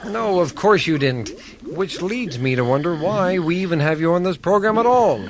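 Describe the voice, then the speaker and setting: A man's voice: high-pitched, animated, moderate pace, crowd noise. A man playing a formal, easily exasperated TV news anchor in a satirical radio-theater broadcast. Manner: formal, stiffly courteous. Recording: clear background vehicle-like noise.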